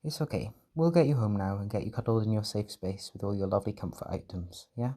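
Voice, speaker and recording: A man playing a gentle, protective caretaker in a roleplay comfort audio, speaking in a soft, reassuring, tender way. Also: lowish voice, expressive, quick pace. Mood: tender, soothing. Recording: music and party chatter behind.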